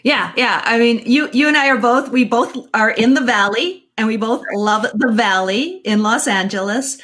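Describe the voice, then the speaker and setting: A woman's voice: on the high side, normal speed, a bit bright. A middle-aged woman with an American accent, interviewing conversationally in a chatty, agreeable way on a video call. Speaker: interviewer, host. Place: indoor room, on a video call.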